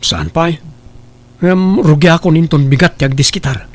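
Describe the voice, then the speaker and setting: An eager young groom played by a man in a radio-style comedy drama. He talks reassuringly, like someone broke but set on his wedding, with a fairly high male voice. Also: moderate pace, natural voice.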